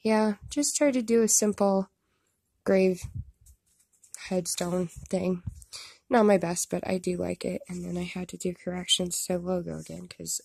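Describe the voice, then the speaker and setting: A young woman with a stuffed-up nose, casually talking through her drawings into a phone and poking gentle fun at her own work. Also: mid-range voice, gravelly, no room sound. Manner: self-deprecating, rambling with restarts. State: stuffed up, congested. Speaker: artist showing her drawings. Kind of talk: casual narration of a drawing time-lapse. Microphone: phone camera.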